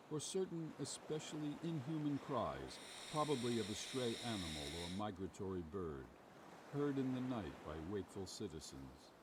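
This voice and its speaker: A man playing the narrator of a macabre old tale, reading formal literary prose for a game. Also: on the low side. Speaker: story narrator. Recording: distant, noisy game audio with ambience.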